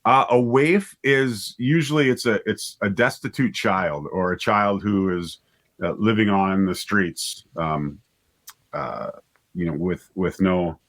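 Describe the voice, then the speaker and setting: Low-pitched man explaining in casual interview. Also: slow, a bit bright. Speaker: interview guest, writer and director of audio dramas.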